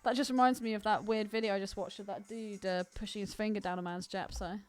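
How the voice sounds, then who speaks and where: A woman's voice: medium pitch, fairly even intonation, fast talker, soft background music. A woman co-hosting a podcast in casual banter, cheeky and crude. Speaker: podcast co-host.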